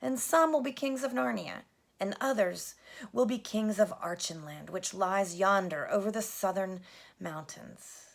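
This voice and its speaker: A woman voicing a kindly, solemn great lion king, speaking in a grave, measured, hushed way. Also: mid-range voice, gravelly.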